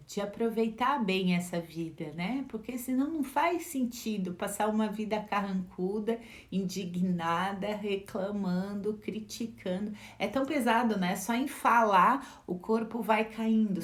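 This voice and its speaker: A middle-aged Brazilian woman, a life educator, gives a reflective teaching talk with a Brazilian Portuguese accent, posing rhetorical questions and adding frequent tag questions. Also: medium pitch. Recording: echoey room.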